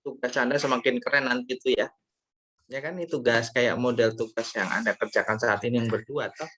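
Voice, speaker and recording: A man leads an online class in a loose, conversational way and often checks in with his students. His voice is bright and forceful, at a middle pitch. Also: steady tone, fairly quick. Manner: casual, guiding with rhetorical questions. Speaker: lecturer. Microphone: video call.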